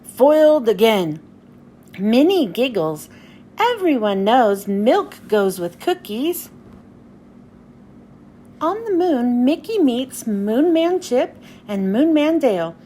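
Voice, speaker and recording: A woman narrates a children's storybook in a high voice. Her pitch moves in lively, expressive swings. There is faint background noise on the recording. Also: slow pace, pauses often. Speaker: children's book reader. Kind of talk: storybook read-aloud narration.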